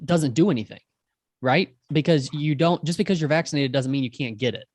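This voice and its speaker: A man's voice: fairly high pitch, brisk, no echo. A man co-hosting a podcast, asking probing questions with frequent restarts and fillers. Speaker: podcast co-host. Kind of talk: casual chat.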